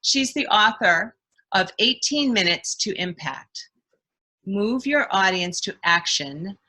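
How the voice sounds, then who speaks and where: Bright-voiced woman hosting an interview. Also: medium pitch. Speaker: interviewer, host.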